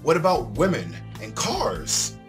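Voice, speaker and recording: A man voicing a cocky, girl-crazy high school boy from a visual novel, bragging theatrically. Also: huge pitch swings, slow pace, heavily acted. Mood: teasing. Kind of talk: visual novel character read aloud. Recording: music behind the voice.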